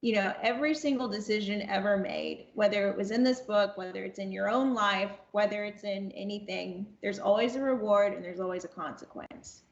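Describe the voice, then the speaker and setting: A woman with a medium-pitched voice talks reflectively as an interview guest. She is deliberate and emphatic, restating phrases for weight. The sound is roomy and slightly muffled. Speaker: audio drama cast member, podcast guest.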